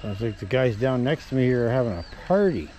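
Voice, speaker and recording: A man's voice: medium pitch, expressive, fast talker, warm tone, a little hiss or hum. A man giving casual running commentary as he fishes outdoors for a vlog. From Canada. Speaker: fishing vlogger. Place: outdoors at a small pond bank. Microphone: body-worn action camera.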